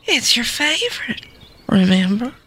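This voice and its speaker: A woman's voice: a little high, very animated, a little slow, a bit bright, husky, full character voice. A woman playing a sad, anxious, pleading Southern mother in a melodramatic comedy scene, tearful and cloying. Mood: anxious coaxing. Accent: American South.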